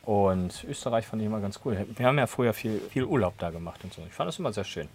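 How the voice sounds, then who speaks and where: A man's voice: on the low side, lively intonation, fast talker, a little mellow, slightly rough. A young man from Berlin chatting casually, rambling with lots of fillers. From Berlin, Germany. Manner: rambling, many fillers and "und sowas". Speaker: conversation partner. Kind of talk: casual chat.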